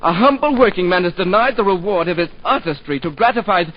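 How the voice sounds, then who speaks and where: A man's voice: high voice, lively intonation, quick pace. An actor playing an indignant young man just back from university, in a 1940s radio drama, sarcastic and theatrical. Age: young adult. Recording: dull lo-fi 1940s radio broadcast.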